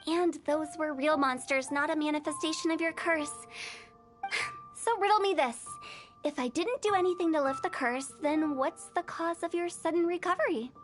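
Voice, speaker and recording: A woman's voice: high-pitched, normal speed, natural voice. A woman playing a playful, mischievous young funeral director in a video game, with theatrical, teasing showmanship. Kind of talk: video game character acting. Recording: music behind the voice.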